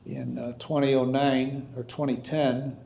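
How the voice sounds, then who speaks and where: Man, judge, American accent, stern and halting, questioning from the bench, average pitch, reverberant room. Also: level tone, gravelly, mellow. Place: indoor courtroom. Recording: echoey room sound.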